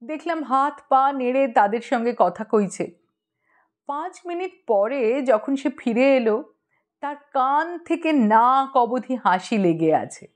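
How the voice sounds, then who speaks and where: A woman's voice: high voice, animated, slow. A woman narrating a humorous short story as an audiobook reader.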